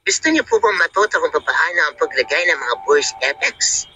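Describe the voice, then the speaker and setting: A man voicing an excited trickster through a voice-changer filter, urging people on in a short promotional hook. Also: fairly high pitch, a little fast, noisy background. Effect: app voice-changer filter.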